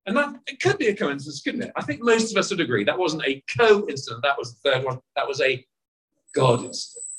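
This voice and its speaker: Animated, high-pitched male preacher. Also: quick pace. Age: 40s. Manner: lively, conversational preaching with rhetorical questions. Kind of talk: sermon. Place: outdoor car park service.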